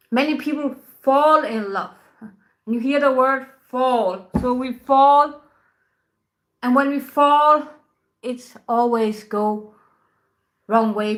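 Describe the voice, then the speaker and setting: A woman, a tantra teacher talking casually to camera in soft, unhurried English, often laughing between thoughts. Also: on the high side, rough recording. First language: Danish. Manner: soft-spoken, unhurried, laughs often, non-native grammar. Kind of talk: casual spoken talk to camera.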